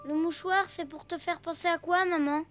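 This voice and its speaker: A woman's voice: extremely high pitch, fairly even intonation, moderate pace, background noise. A woman voicing a blunt, unimpressed young child in a children's cartoon. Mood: curious, doubtful. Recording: music behind the voice.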